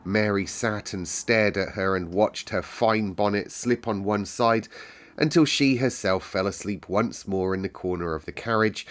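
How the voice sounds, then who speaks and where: A man's voice: sits low, a bit bright. A British man narrating a storybook aloud as a storyteller. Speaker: storyteller, host.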